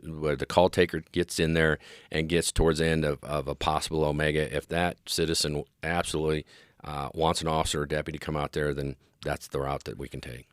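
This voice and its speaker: Middle-aged American male interviewee, extremely low voice, earnest expert explainer, close dry recording. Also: level tone, fairly quick, smooth, no echo. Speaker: interview guest, police dispatch specialist and former officer.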